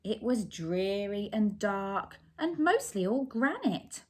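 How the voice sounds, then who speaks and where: A woman's voice: medium pitch, big pitch swings, slow. A woman reading a picture book aloud expressively, putting simple questions and explanations to young children. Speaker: children's story reader.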